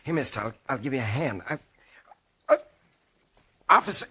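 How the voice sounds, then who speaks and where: An actor playing a shaken, defensive motorist in a 1940s radio drama, stammering and whining in agitation. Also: male voice, medium pitch, expressive, normal speed, sharp tone, breathy edge, exaggerated voice. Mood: weak, dizzy. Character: shaken, defensive male motorist with a head injury. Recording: old radio drama recording.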